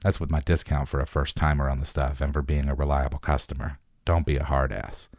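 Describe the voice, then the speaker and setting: A young male drug dealer from a noir story, acted out by a man reading aloud, wary and quick to push the sale. The voice sits extremely low and dark, with slangy, defensive phrasing in a dry, close sound. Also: flat delivery, no room sound. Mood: pushy. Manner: slangy, hasty salesman talk. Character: wary nineteen-year-old male street drug dealer, pushy and defensive.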